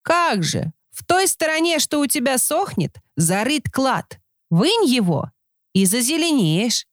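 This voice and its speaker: A lazy, foolish storybook idler , played by a woman, naive and full of himself. The voice is pitched high, with big swings of intonation and a dry sound. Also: sing-song, unhurried, no room sound. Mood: self-assured. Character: lazy, foolish, boastful idle man, naively hopeful.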